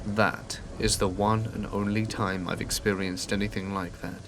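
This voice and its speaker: A man calmly reading true scary stories aloud in an even, scripted storytelling manner. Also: fairly low pitch, normal speed, a little hiss or hum. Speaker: narrator of submitted stories. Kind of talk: calm scripted horror narration. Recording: faint rain ambience behind.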